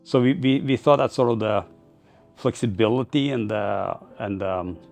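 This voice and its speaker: A man's voice: lowish voice, normal speed, creaky, darkish tone, faint music behind. A man giving informal interview answers as a startup founder, in a hesitant, loosely phrased, rambling way. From Norway.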